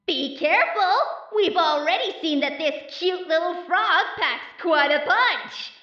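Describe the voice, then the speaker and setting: Echoing and distant, a woman playing an excitable male stadium announcer pitches the voice extremely high and swoops through bombastic, drawn-out match hype. It is a loud, showy audiobook character built to fire up an arena. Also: muffled recording, full character voice. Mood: excited hype.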